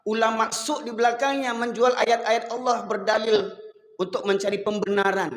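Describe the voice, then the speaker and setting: A man from Sumatra with a Medan accent, preaching a sermon to a congregation and slowing to chant verses. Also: sits high, little pitch movement, fairly bright tone. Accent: Medan Indonesian. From Sumatra, Indonesia. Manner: rises and falls, slows to chant Quran verses. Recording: echoey hall.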